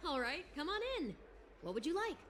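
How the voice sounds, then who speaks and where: A woman's voice: sits high, big pitch swings, fairly quick, noisy background. A woman voicing an obliging restaurant keeper in a video game, with an accommodating way of talking. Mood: welcoming. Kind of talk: video game character dialogue.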